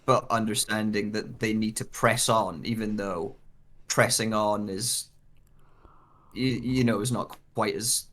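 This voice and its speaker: A man's voice: on the low side, little pitch movement, a little slow, a bit bright. A man speaking casually as a guest actor discussing a role, rambling and often restarting his sentences. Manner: rambling, restarts sentences, frequent "like" and "you know".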